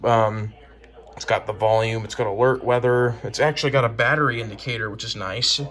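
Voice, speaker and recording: A man's voice: lowish voice, fairly even intonation, fairly quick, fairly bright tone. A man giving a casual, unscripted show-and-tell as a hobbyist collector, in a hesitant, rambling, plain-spoken way. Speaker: hobbyist collector presenting weather radios.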